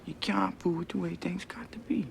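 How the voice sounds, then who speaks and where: An actor playing a calm, mysterious man visiting the sick, speaking low and soft in a put-on Jamaican accent. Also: fairly quick, gravelly. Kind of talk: film drama dialogue.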